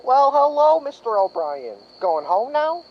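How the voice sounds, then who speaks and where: A slow, very animated voice on a dull recording, a man acting a folksy male gate guard. He is chatty and jovial, in radio-play style. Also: very high-pitched, full character voice.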